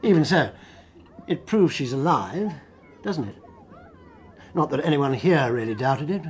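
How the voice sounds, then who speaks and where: An actor playing a precise, slightly defensive school headmaster in a radio drama. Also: male voice, on the high side, lively intonation, measured pace, a little hiss or hum. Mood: measured.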